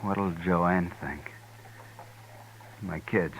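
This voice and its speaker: An anxious small-town banker who pleads and frets. His voice is deep but bright-edged. Faint hiss from an old radio broadcast sits behind it. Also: extremely slow, exaggerated voice, rough recording. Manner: pleading and fretful. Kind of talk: 1950s radio drama acting.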